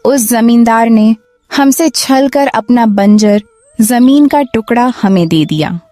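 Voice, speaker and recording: A woman's voice: on the high side, slow pace, mellow, no room sound, faint music behind. A woman playing a poor farmer's worried, reproachful wife in a cartoon moral story, plaintive and questioning.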